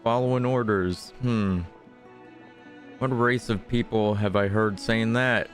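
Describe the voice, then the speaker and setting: A low, unhurried male voice over clear game music. The man is a streamer chatting casually through his play, thinking aloud and dropping wry, sarcastic asides. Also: steady tone. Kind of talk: casual gameplay commentary.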